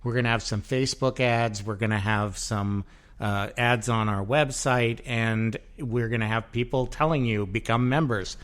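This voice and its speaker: A man's voice: medium pitch, moderate pace, a bit bright. Rambling man hosting a podcast. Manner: loose, rambling with many fillers. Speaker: podcast host. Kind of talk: casual chat, promoting the venue.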